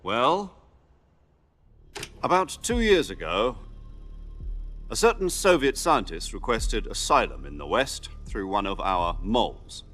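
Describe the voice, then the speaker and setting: A man's voice: on the low side, sing-song, takes it slowly, long pauses, a bit bright, faint music behind, exaggerated voice. An actor playing a military commander who briefs his field agent in a game cutscene. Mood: briefing. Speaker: mission commander on radio.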